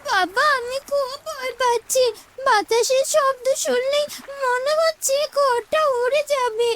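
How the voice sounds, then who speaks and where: A woman voicing a young, timid village daughter in an animated moral story. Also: very high voice, measured pace, slight background noise. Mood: scared, tearful.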